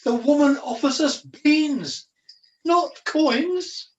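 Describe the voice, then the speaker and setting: A man's voice: extremely high pitch, lively intonation, takes it slowly, dull audio, a little hiss or hum, full character voice. A man playing a foolish, protective jester in an amateur pantomime, declaiming in mock-archaic, theatrical style. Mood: indignant, protective. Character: foolish, protective male jester, mock-grand wandering minstrel. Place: at home, indoors. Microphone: video call. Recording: echoey, distant home video call.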